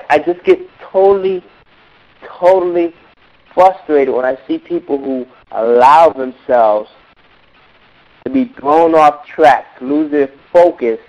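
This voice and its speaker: A man's voice: mid-range voice, darkish tone. A man with a cold, coaching in a teleseminar, emphatic and repeating words for stress. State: hoarse from a cold. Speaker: music business coach. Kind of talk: motivational teleseminar talk. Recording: dull lo-fi teleseminar call.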